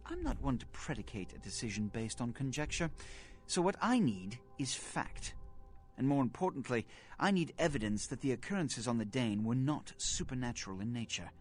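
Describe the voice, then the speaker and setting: An imperious vampire prince. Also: male voice, mid-range voice. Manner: formal, condescending, precise diction. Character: cold, imperious vampire prince, smooth businessman.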